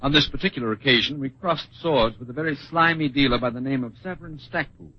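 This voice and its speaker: An actor playing a suave, unflappable American adventurer in an old-time radio drama, urbane and wry. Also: male voice, mid-range voice, fast talker, husky, natural voice. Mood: relaxed, storytelling. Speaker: narrator and lead character. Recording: muffled old radio recording.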